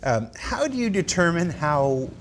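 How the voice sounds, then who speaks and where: A man's voice: sing-song, measured pace. A man hosting a casual live question-and-answer panel, with a wry, self-deprecating manner. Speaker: panel host. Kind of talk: casual live game developer Q&A. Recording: noisy, engine rumble over the talk.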